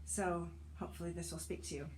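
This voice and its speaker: A woman reading a personal essay aloud as a narrator, with a wry, measured storytelling delivery. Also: lowish voice, fairly even intonation, a little mellow, a little hiss or hum, poor audio. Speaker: audiobook narrator, actor and author reading an essay.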